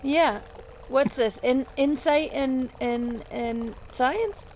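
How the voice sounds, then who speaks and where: A woman's voice: sits high, drawn-out words, darkish tone, low-quality recording. A woman chatting casually and wryly as a player in a tabletop game. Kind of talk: casual playful table chat. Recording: clear background noise.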